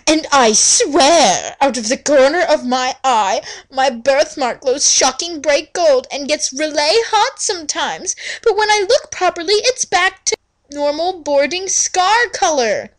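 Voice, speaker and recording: A woman acts out a moody gothic teenage girl with a hot temper and a huge sense of herself. The voice is high and overwrought, swooping between hush and screech in a parody of dramatic fanfiction. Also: lively intonation, unhurried.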